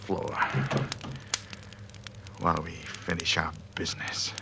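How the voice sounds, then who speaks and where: A menacing male crook in an old radio thriller. His voice is deep and creaky, and he taunts with slow, false courtesy. The old recording is clearly noisy. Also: little pitch movement, exaggerated voice. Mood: gloating menace. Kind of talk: 1940s radio suspense acting.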